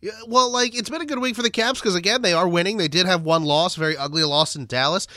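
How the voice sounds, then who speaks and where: A man's voice: high voice, fast talker, bright, forceful tone, no echo, clean studio sound. A man hosting a casual sports podcast, sounding nasal and stuffed up from a cold, chatty and rambling. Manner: chatty, rambling, self-deprecating. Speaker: podcast host.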